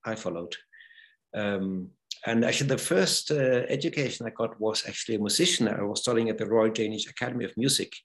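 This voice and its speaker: A man's voice: fairly low pitch, brisk. A man from Germany introducing himself as a guest, thoughtful and hesitant with fillers. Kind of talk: self-introduction in a talk.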